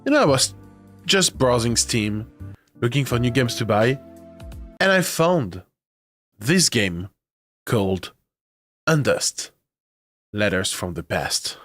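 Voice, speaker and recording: A young man streaming a video game, talking casually with fillers and swearing, his voice rough and congested from a nasty cold. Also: medium pitch, sing-song, takes it slowly, pauses often, a bit bright, no echo, faint music behind. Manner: chatty, swearing, self-mocking, with filler sounds. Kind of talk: casual live-stream chat.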